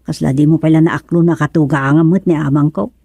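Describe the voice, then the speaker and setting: An actress playing a chatty, teasing wife in a comic radio serial. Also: female voice, low-pitched, steady tone, brisk, gravelly, dark tone, breathy edge, no room sound, heavily acted. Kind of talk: comic radio drama acting.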